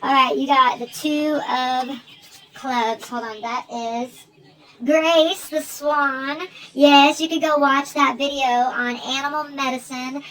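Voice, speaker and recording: A woman's voice: high voice, fairly even intonation, slow, fairly bright tone, slight background noise. An American woman chatting in a rambling, informal one-sided phone call for an online video, drifting between asides. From United States.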